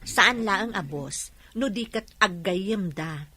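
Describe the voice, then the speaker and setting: A woman's voice: mid-range voice, animated, takes it slowly, pushed and bright, slightly rough, heavily acted. A woman acting a polite female employee in a Filipino radio drama, with a Filipino accent. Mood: evasive. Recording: music under the voice.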